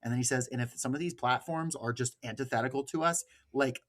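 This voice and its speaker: A man hosting a podcast in a casual, informal discussion, animated and given to swearing. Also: mid-range voice, brisk. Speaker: podcast host.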